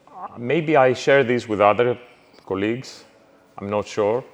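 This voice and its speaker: A middle-aged man lectures to students, and his speech halts often with fillers and restarts. His voice sits on the low side. The sound carries the long reverb of a lecture hall. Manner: halting, many fillers and restarts. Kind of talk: university lecture.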